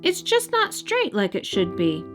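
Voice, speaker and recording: A woman's voice: mid-range voice, huge pitch swings, unhurried, over music. A woman reading a storybook aloud, voicing an earnest, eager young boy. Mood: curious.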